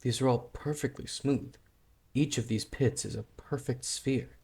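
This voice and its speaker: An actor playing a calm, independent young tribesman, a stubborn younger brother, in a dramatic manga dub with an earnest, measured manner. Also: medium pitch, creaky. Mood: intrigued, calm.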